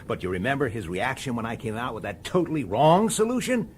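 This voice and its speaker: Defiant police detective, swooping pitch. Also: male voice, wildly swooping pitch, brisk. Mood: triumphant, insistent. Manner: insistent, accusatory, clipped sardonic questioning. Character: dogged, defiant male police detective, framed and determined. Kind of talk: radio drama acting. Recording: slightly noisy radio drama recording.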